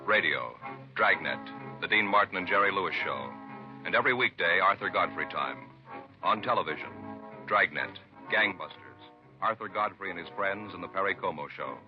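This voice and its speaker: A man's voice: sits low, lively intonation, moderate pace. A man with an American accent reading scripted announcements in 1950s radio style. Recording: dull vintage radio recording with music.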